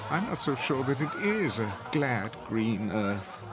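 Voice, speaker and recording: A bewildered older husband in an audio drama. He speaks slowly and earnestly, with pleading swings of pitch. Music plays behind him. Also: big pitch swings. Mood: urgent.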